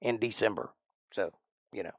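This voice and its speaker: A man's voice: on the low side, moderate pace, no room sound, clean studio sound. A man in his forties hosting a live stream, chatting casually in a rambling, folksy way as he thinks aloud and answers his chat. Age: 40s.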